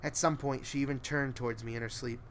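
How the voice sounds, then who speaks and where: A man's voice: medium pitch, fairly even intonation, fast talker, a bit bright. A man tells a horror story in the first person with a mid-range voice. His delivery is brooding and emotive, and noticeable ambient noise sits behind him. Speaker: narrator.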